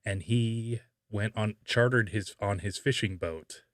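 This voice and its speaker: A man with a low voice talks casually as a podcast host. He pauses and gropes for words, thinking aloud, in a close, dry recording. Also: a bit bright, no room sound. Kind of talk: casual conversational podcast talk.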